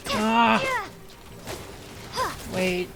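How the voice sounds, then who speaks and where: A woman's voice: mid-range voice, steady tone, drawn-out words, creaky, noisy background. A woman giving casual, reactive gameplay commentary as a streamer, muttering in short self-deprecating bursts. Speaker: game streamer.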